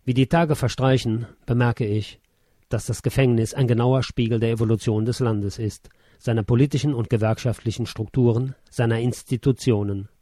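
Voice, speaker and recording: A man narrates a first-person memoir in a measured, sober manner, pitched squarely in the middle of the male range. He reads close to the microphone, and the recording is dry, with no room sound at all. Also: steady tone, dark tone, no room sound.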